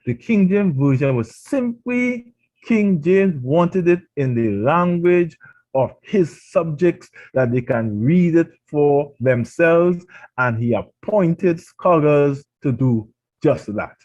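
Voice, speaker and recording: A man's voice: fairly high pitch, animated, slow pace, a little mellow, lo-fi sound. A man with a Trinidadian accent, preaching a radio sermon and pressing his points with emphatic rhetorical questions. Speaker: pastor.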